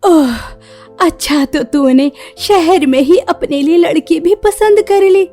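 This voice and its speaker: A long-suffering, motherly village woman from a cartoon family drama, played by a woman. She speaks tenderly in a high, soft-toned voice, as a mother does to her grown children. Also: takes it slowly, soft background music. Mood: fond. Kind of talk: Hindi cartoon story voice acting.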